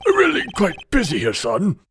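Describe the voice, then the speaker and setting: A man's voice: high voice, wildly swooping pitch, normal speed, noisy background. A man voicing a pompous, blustering older male lawyer in a video game fan dub, theatrical, evasive and old-fashioned in his phrasing. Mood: flustered, evasive.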